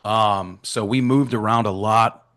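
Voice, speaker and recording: Thirties guest from Florida, candid storyteller, slightly low voice. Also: male voice, fairly even intonation, measured pace, a bit bright. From Florida, USA. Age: 30s. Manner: open, leans on "right?" and "man". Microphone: remote video call.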